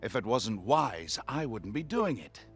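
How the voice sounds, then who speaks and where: An actor playing a wry, confident, middle-aged inventor doctor in a video game, speaking articulately. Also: male voice, fairly low pitch, very animated, unhurried, soft background music. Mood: firm. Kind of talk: video game cutscene acting.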